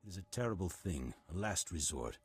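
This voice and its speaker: An actor playing a grave, self-sacrificing Jedi master in a video game, speaking in a solemn, formal, measured way. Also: male voice, deep voice, sing-song, breathy edge, exaggerated voice. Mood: solemn regret. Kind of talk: scripted video game acting. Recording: music behind the voice.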